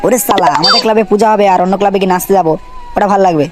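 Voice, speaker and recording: A performer voicing an indignant, foul-mouthed young club member in a comic cartoon, complaining in rapid, crude slang. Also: medium pitch, over music, close to an everyday voice. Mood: grumbling.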